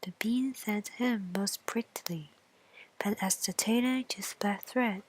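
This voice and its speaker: Very slow-paced woman storyteller. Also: mid-range voice, a little hiss or hum, low-quality recording. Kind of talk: bedtime story narration for children.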